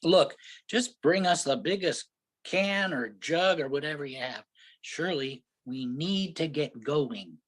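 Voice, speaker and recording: A man's voice: a little high, animated, slow pace, a bit bright, full character voice. A man playing an impatient, sarcastic, exasperated husband on vacation in an amateur staged play reading. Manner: sarcastic, griping, incredulous.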